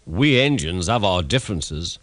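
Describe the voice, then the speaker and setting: A man's voice: deep voice, big pitch swings, a little slow, a bit bright, dry recording, full character voice. A man voicing haughty, easily offended steam engines in a children's storybook, pompous and emphatic. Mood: stern.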